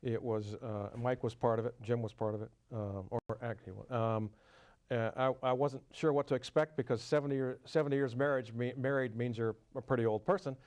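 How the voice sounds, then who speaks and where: A man who sings in a barbershop quartet, answering interview questions hesitantly with many pauses and fillers. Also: mid-range voice, fairly even intonation. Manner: hesitant, many ums and uhs, warm-hearted.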